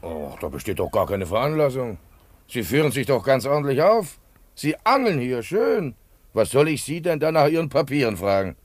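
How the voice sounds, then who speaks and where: A man's voice: medium pitch, very animated, moderate pace, no room sound. An actor playing a polite, curious and fussy Spanish harbour policeman in a German radio play, hesitant and courteous. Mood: curious, obliging.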